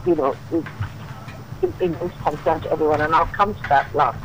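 A woman, a dermatologist, calling into a radio show and explaining calmly, with many "you know"s. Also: deep voice, a little fast, noisy background. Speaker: dermatologist guest.

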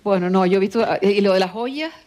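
Woman interviewer, medium pitch. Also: fast, darkish tone. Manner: prompting, often interjecting agreement. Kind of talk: informal conversational interview.

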